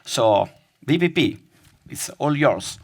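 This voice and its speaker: A man's voice: medium pitch, lively intonation, slow pace. A man moderating a conference session, briefly thanking and introducing the next speaker.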